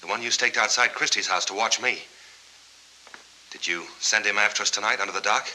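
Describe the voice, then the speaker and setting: An American actor in a 1940s film noir, playing a cornered, defiant war veteran who bargains insistently in clipped, hard-boiled lines. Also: male voice, lowish voice, brisk, pushed and bright, slight background noise, poor audio. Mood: tense, accusing.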